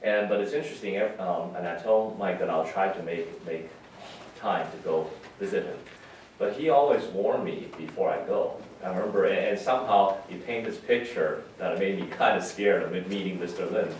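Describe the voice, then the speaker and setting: A man speaking as a pastor, giving a conversational memorial sermon in a halting, informal, reflective way. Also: deep voice. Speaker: pastor giving a funeral message. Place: large reverberant room at a memorial service. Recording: very echoey hall, distant, faint noise.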